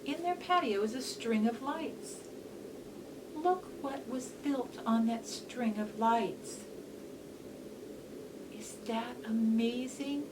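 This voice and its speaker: A woman teacher giving an educational read-aloud for children, explaining as she goes with deliberate, instructive phrasing and emphasis on key words. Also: a little high. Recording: distant mic with faint hiss.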